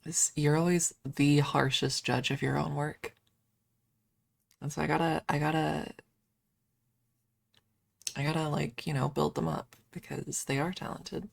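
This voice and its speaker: A woman streamer chatting casually in a soft, hesitant ASMR style with playful self-deprecation, close to the microphone. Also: low voice, flat delivery, measured pace, gravelly, pauses often, no echo. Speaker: ASMR livestreamer. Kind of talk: ASMR, casual rambling chat.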